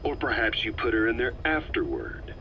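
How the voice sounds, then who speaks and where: An actor playing a hard, cynical military interrogator in a game cutscene, with a pointed, sardonic, pressing manner. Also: male voice, medium pitch, big pitch swings, normal speed, gravelly. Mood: cold accusation. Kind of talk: video game cutscene acting. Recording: dull, muffled, faint background noise.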